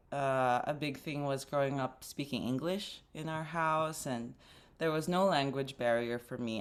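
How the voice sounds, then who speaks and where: A young woman from the Philippines, a dancer and artistic director, talking reflectively in a casual interview with many fillers and restarts. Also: rumbling low.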